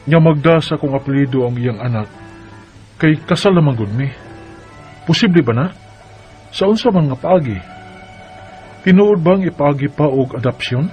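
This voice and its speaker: A man's voice: medium pitch, close to an everyday voice. A man with a Cebuano Filipino accent reading as an earnest, worried husband seeking advice, in the style of a radio drama letter. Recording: dull radio sound over music.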